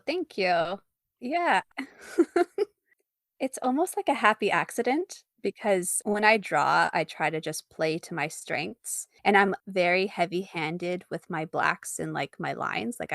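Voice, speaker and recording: Woman artist being interviewed, slightly low voice, giggly and casual, close dry sound. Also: a little slow, gravelly, no echo. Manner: giggly, informal and animated. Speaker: interview guest, fan artist. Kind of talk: casual chat about own artwork.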